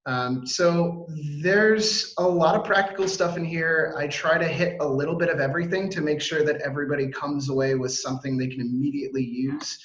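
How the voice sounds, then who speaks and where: A man's voice: on the high side, steady tone, fairly bright tone, dull audio. Upbeat American man, echoey video call. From Washington, D.C. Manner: upbeat, fast, filler-heavy, self-interrupting. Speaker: webinar presenter. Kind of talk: energetic webinar presentation. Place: home or office on a video call. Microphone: video call mic.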